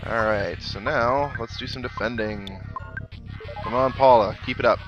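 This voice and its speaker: Low-voiced man commentating over game music. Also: fairly bright tone. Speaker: let's play host. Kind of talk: game playthrough commentary, reading game text aloud. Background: video game music.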